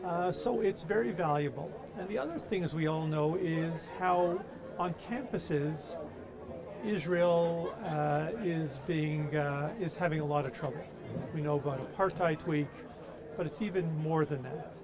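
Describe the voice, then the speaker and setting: A man's voice: fairly high pitch, a little slow. An older man in his sixties, a guest outdoors at night, making an earnest, persuasive case with some hesitation. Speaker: interview guest, community supporter. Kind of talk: casual chat. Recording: outdoor crowd noise.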